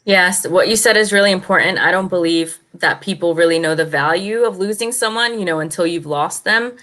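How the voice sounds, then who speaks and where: Encouraging woman host, low voice. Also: a little fast, a bit bright. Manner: encouraging, affirming interviewer. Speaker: show host. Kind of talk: casual interview chat.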